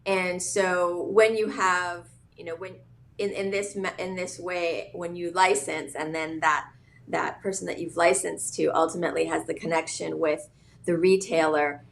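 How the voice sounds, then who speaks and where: A woman's voice: lowish voice, level tone, unhurried, gravelly, slight background noise. A woman from Hawaii, a business founder, talking as a guest in a casual interview, thoughtful and hesitant with many fillers.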